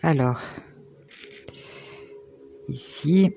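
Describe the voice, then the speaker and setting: A slightly hoarse woman in her thirties, chatting casually with lots of fillers as she works on a diamond painting at home. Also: sits low, extremely slow, mellow, faint music behind. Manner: chatty, rambling, many fillers. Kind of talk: casual chat while crafting.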